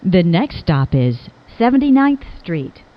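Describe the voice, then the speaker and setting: A woman reads scripted subway announcements as a recorded train announcer, in a measured, formal, clearly enunciated manner. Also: on the low side, sing-song, highs cut off.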